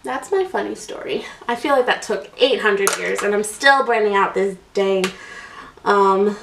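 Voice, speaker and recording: A woman's voice: mid-range voice, unhurried, creaky, fairly bright tone, a little hiss or hum. A woman in her twenties telling a story in a rambling, casual way, filled with "like" and "um", as she does her makeup. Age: 20s. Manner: chatty, rambling, many "like"s and fillers. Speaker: beauty vlogger. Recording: echoey room, voice a bit distant.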